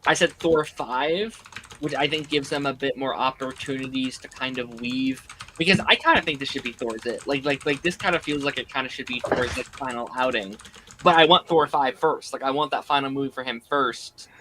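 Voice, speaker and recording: A man who is a little hoarse from being under the weather, chatting casually on a podcast and filling his talk with "like". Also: mid-range voice, moderate pace, bright, forceful tone, a little hiss or hum. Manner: rambling, many "like" fillers.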